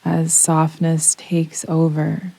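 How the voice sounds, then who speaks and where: Very slow, hushed-toned woman guiding meditation. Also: low voice, monotone, gravelly, rough recording. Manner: soothing, gentle, unhurried with long pauses. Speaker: meditation guide. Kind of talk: guided yoga nidra relaxation meditation. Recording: close dry voice over rain sounds. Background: soft rain sounds.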